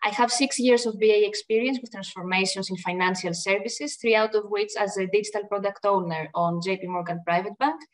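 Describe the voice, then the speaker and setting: A woman's voice: medium pitch, fairly even intonation, a little fast, hardly any pauses, far from the mic. A young woman from Greece with a Greek accent, introducing herself as a consultant on a webinar. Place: home office, video call.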